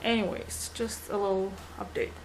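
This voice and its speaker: A young woman with a Romanian accent, a native Romanian speaker, chatting casually in a home vlog and often pausing to search for words. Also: mid-range voice, steady tone, a little hiss or hum, poor audio. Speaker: vlogger.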